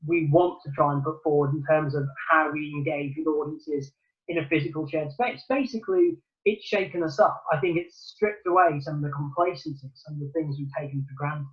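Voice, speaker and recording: A man's voice: fairly high pitch, fairly even intonation, fairly quick, muffled recording. A man from Newcastle giving thoughtful, earnest answers as a theatre producer in a remote interview, with many ums and restarts. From Newcastle, England.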